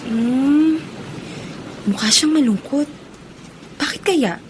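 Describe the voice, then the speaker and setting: Casting: affectionate college-age granddaughter, high-pitched, Filipino accent, radio drama over faint ambience. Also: female voice, takes it slowly, darkish tone, a little hiss or hum. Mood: curious. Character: sweet young female student, affectionate granddaughter.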